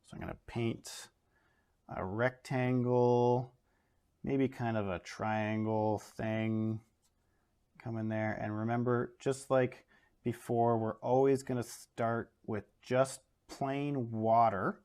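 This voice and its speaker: A man's voice: mid-range voice, slow, clear, resonant tone. A man, an art educator in his home studio, talking casually through a painting demo with lots of ums and uhs. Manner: relaxed, talks through steps with many fillers.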